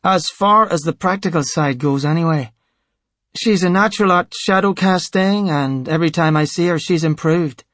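A man voicing a calm, dryly insolent cleric in an audiobook, with a polite manner. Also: a little high, normal speed, dry recording, studio quality, voice pushed up, close to an everyday voice. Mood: measured. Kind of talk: audiobook character voice.

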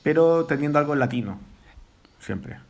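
A Chilean man, a musician guest, talking in a relaxed, rambling way with many fillers. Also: on the high side, normal speed. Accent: Chilean Spanish. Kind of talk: casual interview chat.

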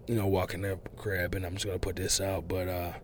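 A man hosting a casual, unedited podcast monologue, talking in a loose, rambling way full of fillers and restarts, his voice a little hoarse from overuse. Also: sits low, little pitch movement, fairly quick, slight background noise. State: losing his voice, a bit hoarse. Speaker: podcast host.

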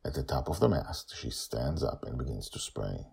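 A man telling a story as host, expressive and emphatic, with dramatic pauses and casual asides. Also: rumbling low, creaky, husky.

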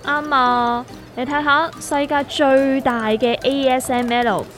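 A sardonic investment guru from a comic radio play, played by a woman, speaking Hong Kong Cantonese in a fairly high voice. Soft music runs underneath. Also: steady tone, slow pace. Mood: explaining. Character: sardonic know-it-all investment master. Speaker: voice actor in a radio drama. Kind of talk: scripted comedic dialogue.

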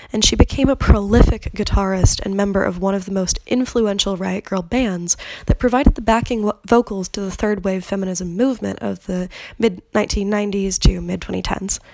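A woman in her thirties talks through her solo podcast with a gravelly, creaky edge to her voice. She is candid and loose, drops the odd swear word, and sounds slightly stuffed up from a cold. The recording is close and dry, with no room sound. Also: medium pitch, fairly even intonation, moderate pace, darkish tone, no echo, low-quality recording. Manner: candid, swears freely, conversational. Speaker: podcast host. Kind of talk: casual solo podcast monologue.

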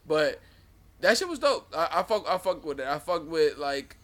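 A man's voice: a little high, big pitch swings, moderate pace, a bit bright. A young man in his twenties co-hosting a podcast, chatting loosely with profanity and frequent laughter. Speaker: podcast co-host. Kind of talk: casual chat.

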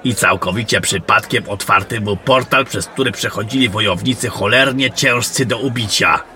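A man playing a gruff, battle-worn, commanding general in a fantasy audio drama, blunt and suspicious in his questioning. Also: lowish voice, moderate pace, pushed and bright, a faint crowd. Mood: stern, sarcastic. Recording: music behind the voice.

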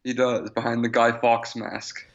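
A man's voice: medium pitch, level tone, measured pace, gravelly. A man co-hosting a podcast in casual conversation, on a slightly dull recording. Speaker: podcast co-host. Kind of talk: casual chat.

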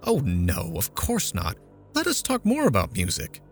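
A man's voice: huge pitch swings, measured pace, faint music behind. A man voicing a petulant, fretful mother. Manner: complaining, indignant, raised pitch.

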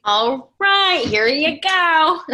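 A woman's voice: high-pitched, lively intonation, very slow, sharp tone, full character voice. An actress playing a chirpy, sociable, slightly awkward woman at a bar in a play reading, chatty and giggly. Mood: friendly, upbeat.

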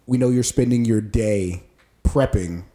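A man's voice: lowish voice, unhurried, mellow. A young man co-hosting a podcast, chatting casually with many fillers and restarts. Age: young adult. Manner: rambling, lots of fillers and restarts. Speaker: podcast co-host. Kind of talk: casual chat.

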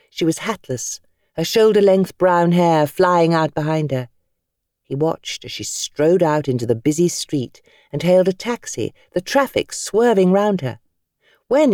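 A British woman reading fiction as an audiobook narrator, with measured, expressive, dramatic storytelling. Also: low-pitched, no room sound, clean studio sound.

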